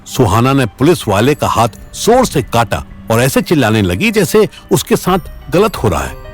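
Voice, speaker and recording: A man narrates an audio story with drama and wide swings of intonation. His pitch sits in the middle, and soft music runs underneath. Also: sing-song, moderate pace. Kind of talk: dramatic scripted narration in Hindi. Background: faint background music.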